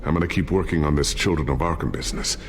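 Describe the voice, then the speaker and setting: An actor playing a loyal, careful inventor and trusted ally in a video game, speaking in a measured, reassuring way. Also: male voice, rumbling low, a little hiss or hum. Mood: focused, reassuring. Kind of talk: video game dialogue, explanatory.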